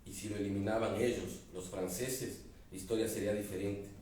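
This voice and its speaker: A man's voice: fairly low pitch, steady tone, a little fast, darkish tone. A man with an Ecuadorian accent, an author presenting his novel at an embassy event and reading a passage aloud with growing dramatic emphasis. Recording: echoey room, distant mic, faint noise.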